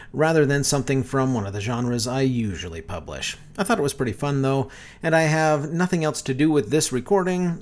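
A man's voice: mid-range voice, darkish tone. A man hosting a podcast, giving a spoken introduction as the director of the show.

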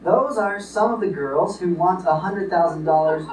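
A man's voice: sits high, normal speed, close to an everyday voice. A man playing a blustering, scheming middle-aged businessman father in an old-time radio comedy staged live in a theater. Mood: stern, scheming. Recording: distant built-in recorder mics, echoey, faint noise.

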